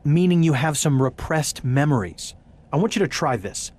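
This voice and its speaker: An actor playing a probing doctor in a video game cutscene, speaking in a measured, instructive way. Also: male voice, a little high, expressive, darkish tone. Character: calm probing doctor treating a patient's memory.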